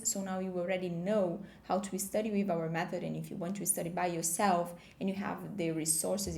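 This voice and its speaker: A woman's voice: mid-range voice, creaky, darkish tone, echoey room. Encouraging Brazilian woman teaching a lesson. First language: Portuguese. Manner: encouraging, with fillers and restarts. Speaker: language teacher. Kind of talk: online language lesson.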